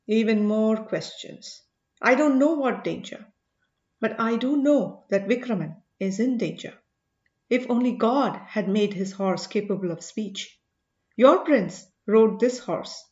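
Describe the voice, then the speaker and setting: An earnest, probing sage voiced by a woman narrator with a high voice and measured authority. The delivery is emphatic audiobook dialogue. The recording is muffled, with plenty of room echo. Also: darkish tone, natural voice. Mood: concerned, emphatic. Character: commanding male sage, earnest, probing, mildly excitable.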